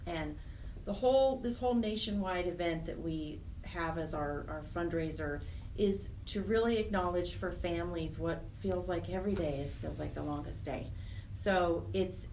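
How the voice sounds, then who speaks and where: Lowish voiced woman guest on radio, earnest, kinda halting, bit far from the mic. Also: slight background noise. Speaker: guest, Alzheimer's charity program director. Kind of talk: radio interview, explanatory talk.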